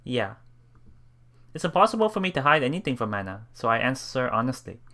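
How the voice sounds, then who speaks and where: A man reading visual novel text aloud as a let's play host, with casual commentary and a halting delivery that repeats phrases. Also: medium pitch, big pitch swings, sharp tone. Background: game music.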